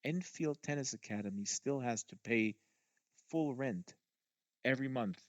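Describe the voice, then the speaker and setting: A man's voice: mid-range voice, unhurried, dry recording. A man reading literary fiction aloud as a narrator, in a dull, lo-fi recording. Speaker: audiobook reader, show host. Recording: dull lo-fi audio.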